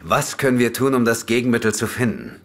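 A man's voice: mid-range voice, lively intonation, a little fast, fairly bright tone, a little hiss or hum, natural voice. An actor playing a grim, urgent male investigator who also narrates a German audio drama. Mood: urgent, determined. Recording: music bed behind the voice.

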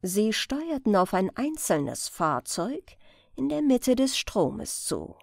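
Female audiobook narrator, higher-pitched, slow and measured, animated intonation, dry close recording. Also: creaky, dry recording. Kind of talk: scripted storytelling narration.

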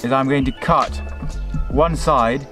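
A man's voice: medium pitch, slow pace, fairly bright tone. Young guy chatting to the folks at home, camera at arm's length, bit of music underneath. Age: young adult. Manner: chatty, addresses viewers as folks and guys. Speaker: fishing channel host. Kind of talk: casual vlog tutorial. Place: outdoors by a river. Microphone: handheld camera at arm's length.

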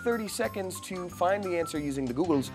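Animated young man hosting a game show. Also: sits high, a little fast, music in the background. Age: young adult. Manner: theatrical, jokey. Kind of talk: playful quiz show hosting. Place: indoor studio set.

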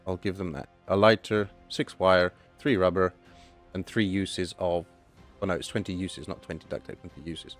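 Hoarse man chatting over gameplay. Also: deep voice, steady tone, normal speed, soft background music. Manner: chatty, thinking aloud, self-correcting. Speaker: gaming channel host. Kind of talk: casual gameplay commentary.

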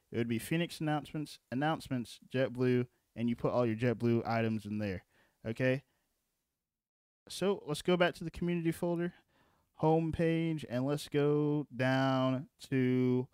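A man presenting a casual software walkthrough, informal and often checking in with the listener. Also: mid-range voice, smooth, no room sound, clean studio sound. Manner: informal, repeatedly checking in with "okay" and "all right". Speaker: tutorial presenter.

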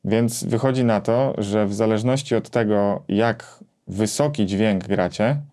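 A man in his thirties explaining a gear comparison conversationally, with hesitant pauses as he thinks. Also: fairly low pitch, mellow. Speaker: gear reviewer and co-host. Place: small home music studio, indoors.

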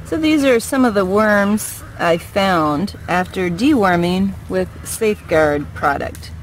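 A woman's voice: mid-range voice, expressive, slow pace, low-quality recording. Woman, backyard chicken keeper, matter-of-fact, casual vlog, outdoor camera audio, faint noise. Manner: plain, matter-of-fact explaining with ums.

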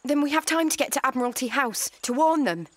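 A woman's voice: high-pitched, lively intonation, a little fast, no room sound. An actress playing a feisty, determined young woman, a brave companion in an audio drama. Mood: urgent, insistent.

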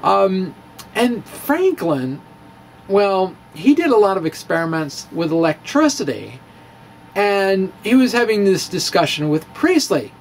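A man's voice: high-pitched, very animated, a little slow, rough recording. A middle-aged man reading his blog aloud dramatically into a close microphone, with informal storytelling asides. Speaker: physics blogger. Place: small indoor room with bookshelves. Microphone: handheld-style microphone on a stand, close in front. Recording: clear background room noise.

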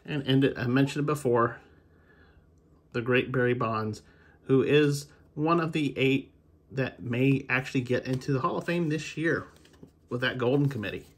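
Slightly husky American man chatting casually. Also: mid-range voice, normal speed. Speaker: video host, baseball card collector. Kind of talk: casual unscripted chat, commentary while opening card packs.

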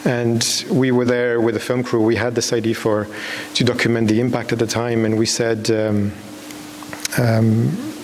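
A man in his thirties speaks as a filmmaker at a public talk, feeling his way through sentences and often restarting them. His pitch sits in the middle of the male range. The hall rings with long reverb, and a clear hiss runs underneath. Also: flat delivery. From Belgium. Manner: halting, searching for words, with repeated false starts. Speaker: documentary filmmaker. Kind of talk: unscripted answer at a public talk. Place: on stage in a large dark hall with an audience.

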